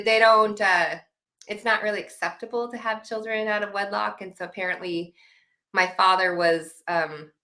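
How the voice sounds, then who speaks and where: A woman's voice: medium pitch, sharp tone. A woman in her thirties from Washington, a guest chatting casually from home, laughing easily and restarting sentences. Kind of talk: casual interview talk.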